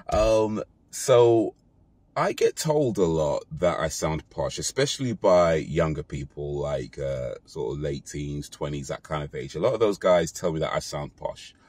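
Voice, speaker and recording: A British man in his thirties talking casually to his phone in a parked car, relaxed and rambling with fillers and self-corrections. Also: a bass voice, unhurried, no echo. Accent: British English. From Britain. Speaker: vlogger. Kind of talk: casual talk to camera. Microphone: phone camera held close.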